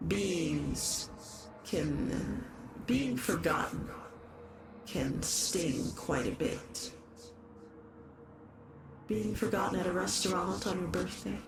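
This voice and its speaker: A performer voicing a lovesick shapeshifting horror in a roleplay monologue, doting and possessive, halting, with soft menace in the endearments. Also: medium pitch, background noise. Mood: darkly wistful. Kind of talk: dramatic roleplay monologue addressed to the listener.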